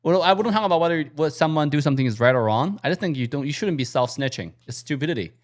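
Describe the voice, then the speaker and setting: Animated man with lively pitch, blunt. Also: fast. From China. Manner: blunt, animated, slightly non-native phrasing. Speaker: reaction video host. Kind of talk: casual reaction commentary.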